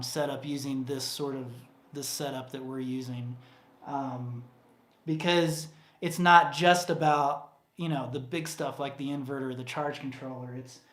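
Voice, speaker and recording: A man co-hosting a casual livestream Q&A, rambling and chatty with lots of fillers and restarts, his voice getting tired from talking. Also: mid-range voice. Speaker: co-host of a livestream Q&A. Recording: roomy echo.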